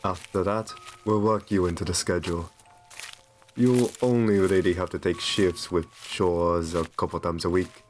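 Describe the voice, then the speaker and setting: A man playing a kind, doting aristocratic vampire in an ASMR roleplay, speaking in gentle, reassuring, genteel endearments. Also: low voice, steady tone, normal speed, soft background music, lightly acted. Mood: tender, reassuring. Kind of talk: ASMR roleplay, scripted intimate monologue.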